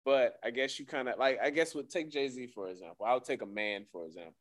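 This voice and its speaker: A man's voice: medium pitch, brisk, no echo, studio quality. A man hosting a radio show in casual chat, hedging and thinking aloud as he talks.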